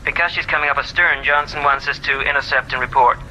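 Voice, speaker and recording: An actor playing an efficient junior naval officer, giving crisp, businesslike reports in a radio drama. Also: male voice, a little high, fairly even intonation, quick pace, sharp tone, a little hiss or hum, poor audio.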